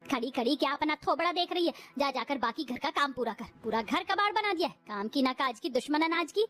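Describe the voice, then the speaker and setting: A woman's voice: sits high, animated, a little fast, fairly bright tone. A woman voicing a cruel, domineering mother-in-law in a cartoon who scolds and taunts. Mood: taunting.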